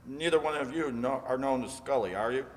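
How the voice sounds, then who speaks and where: An actor playing a seasoned, knowing, laconic narcotics inspector in a radio crime drama. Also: male voice, medium pitch, brisk, lightly acted. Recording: reverberant hall.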